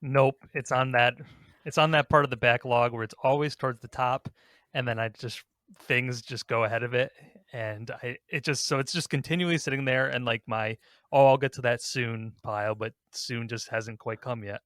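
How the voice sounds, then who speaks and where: A man's voice: mid-range voice, steady tone, moderate pace, fairly bright tone, dry recording. A man in casual podcast conversation, recorded close and dry. He rambles a little as he talks through his thoughts, with a mid-range voice. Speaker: podcast host. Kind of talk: casual gaming podcast chat.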